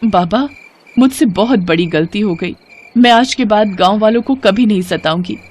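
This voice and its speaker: A woman voicing a vengeful, grieving female shape-shifting serpent with dramatic emphasis in an animated moral story. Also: mid-range voice, moderate pace, background noise, natural voice. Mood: remorseful, resolute.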